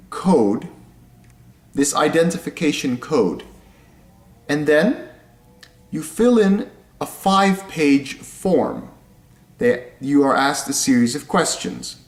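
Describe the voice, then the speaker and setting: A young man from Indonesia, explaining things step by step in a casual vlog, talking slowly and often repeating or correcting himself. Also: medium pitch. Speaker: vlogger. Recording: echoey room.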